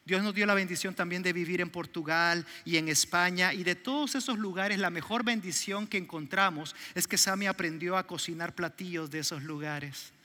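A middle-aged Salvadoran pastor preaching to a congregation, with a high, piercingly bright voice that rings through a large hall. His Salvadoran Spanish accent comes through as he jokes and tells stories, and a long reverb trails his words. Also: moderate pace, few pauses. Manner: storytelling, joking with the congregation. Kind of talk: sermon.

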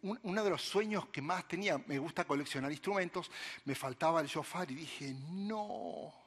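A man's voice: a little high, moderate pace, breathy edge, big-room sound. A man with an Argentine accent, a pastor delivering a sermon and talking straight to his congregation while he tells a personal story. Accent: Argentine Spanish. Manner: animated, storytelling preacher who addresses the congregation directly.

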